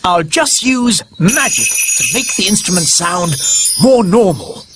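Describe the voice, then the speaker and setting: A confident British fairy king in a cartoon. He speaks in an unhurried, lively way with regal assurance, over loud music. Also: high-pitched. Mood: self-assured. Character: confident, amiable middle-aged fairy king and father. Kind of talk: children's cartoon acting.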